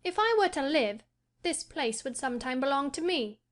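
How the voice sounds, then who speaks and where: Woman narrator voicing a spoiled invalid boy of ten, high-pitched, imperious and cross. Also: lively intonation, moderate pace. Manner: demanding, cross and indifferent. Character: sickly spoiled ten-year-old boy, fretful and imperious. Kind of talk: audiobook character voice.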